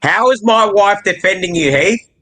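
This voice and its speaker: A man's voice: high voice, moderate pace, bright, forceful tone. A man in his early thirties chatting casually and teasing the others on a group livestream. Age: early 30s. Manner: bantering, teasing. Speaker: guest modeler on a livestream. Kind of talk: casual chat on a group call.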